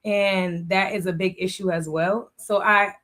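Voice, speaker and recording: A woman's voice: medium pitch, fairly even intonation, a little slow, fairly bright tone. A middle-aged woman from Boston speaking as a guest in a candid interview, plainspoken and restating her points. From Boston, USA. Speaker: talk show guest. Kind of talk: candid personal interview talk.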